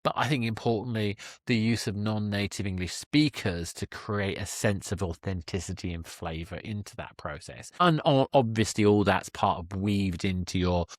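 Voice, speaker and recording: A man's voice: on the low side, normal speed, few pauses, dry recording, studio quality. A man hosting a conversational podcast interview, talking in a discursive, emphatic way with jokey asides. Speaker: podcast host.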